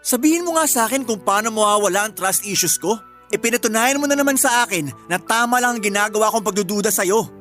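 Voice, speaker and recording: A woman's voice: fairly high pitch, animated, fast talker, a bit bright. A woman with a Filipino accent playing an angry, suspicious girlfriend in a radio drama, sarcastic and confrontational. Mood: cold, suspicious. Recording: music under the voice. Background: background music.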